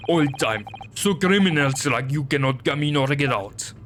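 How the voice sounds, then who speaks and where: A man voicing a gruff, rude male ship guard with a Russian accent and clipped broken speech, for a game. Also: fairly high pitch, animated, moderate pace, a bit bright, a little hiss or hum, pitched below the performer's own voice.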